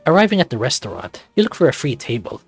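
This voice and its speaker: A man's voice: medium pitch, big pitch swings, fast talker, creaky, husky, no room sound, soft background music. A man narrating a scripted second-person story as a visual novel reader.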